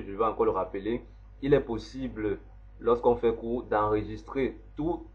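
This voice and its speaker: A man, slowly walking through a software setup step by step as an instructor. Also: on the low side. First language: French. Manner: slow, step-by-step explaining. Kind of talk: screen-recorded software tutorial. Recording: dull lo-fi voice recording.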